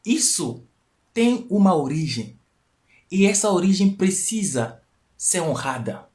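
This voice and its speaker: A man's voice: high voice, sing-song, slow, darkish tone. Man teaching on a live stream. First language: not Portuguese. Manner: explanatory, repeats key phrases, rising emphatic peaks. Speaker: host of a live stream talk. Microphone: live stream mic.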